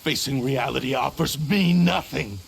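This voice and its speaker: A man's voice: medium pitch, normal speed, gravelly, slight background noise, close to an everyday voice. A man voicing a proud, bitter spirit of a cursed blade in a video game, menacing and haughty in theatrical, archaic phrasing. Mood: cold defiance. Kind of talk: dramatic video game dialogue.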